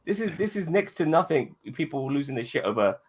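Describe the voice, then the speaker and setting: A man with a fairly high, very animated voice argues in a casual group debate. He talks fast and pushes his point insistently. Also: slightly rough. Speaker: discussion panelist.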